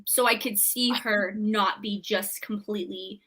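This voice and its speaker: A woman's voice: mid-range voice, fairly even intonation, a little slow, pushed and bright, low-quality recording. A woman chatting casually on a book club live show, peppering her talk with "like".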